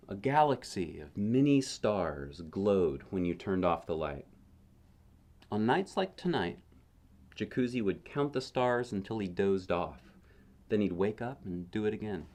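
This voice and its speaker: Male storyteller, lowish voice with lively swings in pitch, bedtime picture-book read with musing, conversational asides. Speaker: dad reading a picture book aloud. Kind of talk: expressive bedtime story reading with casual asides.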